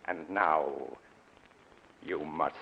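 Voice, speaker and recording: An actor in a 1940s radio drama playing a menacing, megalomaniac robot that passes for a man, speaking in a grandiose, deliberate way. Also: a bass voice, fairly even intonation, heavily acted. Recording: tinny narrow-band old radio recording.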